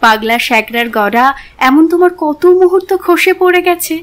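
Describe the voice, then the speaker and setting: A wry young society lady in an audio drama. She has a high voice and a measured, playfully mocking way of teasing. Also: lively intonation. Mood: gently reproachful. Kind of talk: literary audio drama acting.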